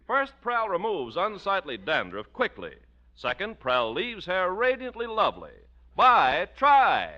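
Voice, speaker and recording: Bright, high-pitched vintage radio announcer man. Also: wildly swooping pitch, unhurried. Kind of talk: 1940s radio announcing and sponsor reads. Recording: dull 1940s radio recording.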